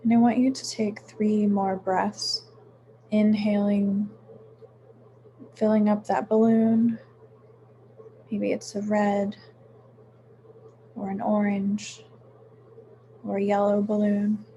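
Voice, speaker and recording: A woman guides a meditation in a mellow, relaxed voice at a middling pitch. She speaks very slowly and soothingly, leaving frequent pauses. There is some faint noise in the recording. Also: dull audio. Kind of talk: guided meditation, gentle instruction.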